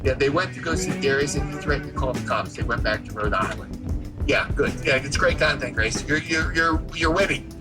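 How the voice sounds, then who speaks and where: A man's voice: medium pitch, a little fast, music in the background. A man delivering a crude, boastful, profane rant as livestream commentary.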